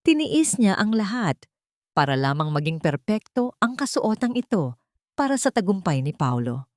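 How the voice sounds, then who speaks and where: A woman's voice: medium pitch, sing-song, normal speed, a little mellow, no echo, studio quality. A woman narrating a scripted dramatic story in a solemn, melodramatic manner. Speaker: audiobook narrator.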